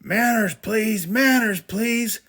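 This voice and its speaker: A man's voice: high-pitched, slow pace, fairly bright tone, voice pushed up, exaggerated voice. A man voicing an exasperated but jolly father bear in a storybook, blustery and full of exclamations.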